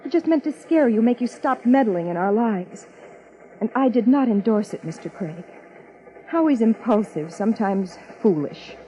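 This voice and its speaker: Woman playing a poised, highish-voiced femme fatale. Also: animated, normal speed, mellow, lightly acted. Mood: defensive, anxious. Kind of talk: 1950s radio drama acting. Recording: muffled 1950s radio recording.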